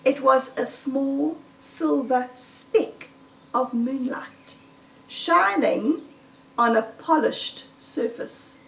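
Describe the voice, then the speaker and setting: Slow, high-pitched woman reading a children's story. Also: gravelly, a bit bright, a little hiss or hum. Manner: deliberate, dramatic storytelling with suspenseful emphasis. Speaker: storybook reader. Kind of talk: expressive read-aloud of a children's story. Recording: roomy echo, distant microphone.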